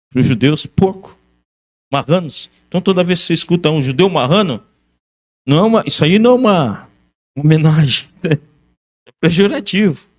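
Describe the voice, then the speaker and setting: A man with a Brazilian Portuguese accent giving a history lecture as a religious teacher, alternating reading aloud with commentary and emphatically repeating key phrases. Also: sits high.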